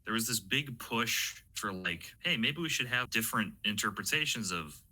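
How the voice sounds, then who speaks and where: Low, very sharp-edged male voice, casual. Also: lively intonation, normal speed, breathy edge, poor audio. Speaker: interviewer. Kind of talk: casual chat.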